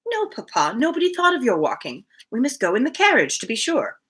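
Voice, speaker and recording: A woman reads as a cheerful young gentlewoman of a storybook. She is patient and reassuring, with a bright voice and lively pitch. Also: fairly quick, breathy edge, full character voice. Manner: patient, coaxing. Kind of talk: storybook reading of dialogue.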